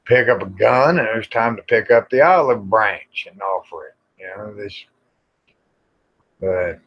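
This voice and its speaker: Slow-talking, low-voiced American man. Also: fairly bright tone. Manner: folksy, plain-spoken. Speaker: livestream host. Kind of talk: casual rambling chat with live viewers. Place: outdoors in the evening. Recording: dull lo-fi livestream audio.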